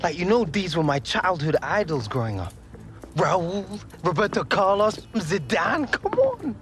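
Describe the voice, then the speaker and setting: An actor playing Alex, an earnest eighteen-year-old footballer, in a video game cutscene. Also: male voice, sits high, unhurried, soft background music, exaggerated voice. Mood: excited. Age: young adult. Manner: excitable, earnest, wide-ranging delivery. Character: young male footballer Alex, eager and earnest.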